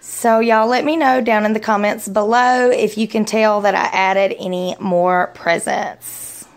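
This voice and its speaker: A woman's voice: mid-range voice, fairly even intonation, a little slow. A young adult woman with a Southern US accent, chatting casually as she vlogs on a phone at arm's length. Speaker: vlogger. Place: small home rooms and inside a car.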